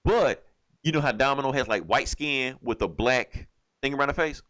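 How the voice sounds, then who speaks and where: A man's voice: mid-range voice, moderate pace, a bit bright. A man co-hosting a podcast, telling a story in a casual, rambling, slangy way with some swearing. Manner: rambling, slangy, acts out lines in passing. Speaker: podcast co-host reviewing comic books. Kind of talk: casual, profane storytelling recap.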